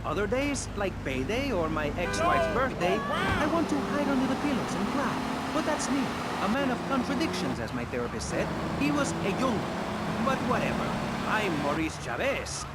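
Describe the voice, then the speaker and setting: Fussy radio host, animated delivery. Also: male voice, sits high, normal speed. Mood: self-important, fussy. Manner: fussy, flustered, pompous. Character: nervous, self-important male public radio talk show host. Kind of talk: satirical radio talk show acting. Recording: loud car and street noise over it.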